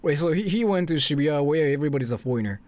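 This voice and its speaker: A man from Japan livestreams in a casual, sarcastic way, repeating himself as he jokes. His pitch moves in lively swings, and there is faint noise behind him. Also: racing. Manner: sarcastic, joking, repetitive. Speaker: livestreamer reacting to a video and chat. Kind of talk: casual livestream chat and reaction commentary.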